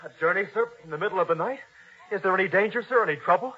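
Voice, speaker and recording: A man's voice: sits high, very fast, a bit bright, breathy edge. An actor playing a meek, anxious male servant, timid and deferential, in a 1950s radio drama. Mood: startled, uneasy. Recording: dull old radio recording.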